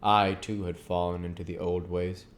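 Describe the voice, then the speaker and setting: A man's voice: low voice, little pitch movement, normal speed. A man reading a classic novel aloud as an amateur audiobook narrator.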